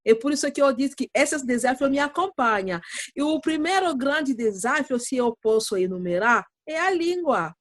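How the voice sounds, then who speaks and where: A woman's voice: high voice, expressive, moderate pace, no echo. Hesitant woman with a dry, close sound. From Democratic Republic of the Congo. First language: not Portuguese. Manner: hesitant, searching for words with fillers. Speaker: guest. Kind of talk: interview answer.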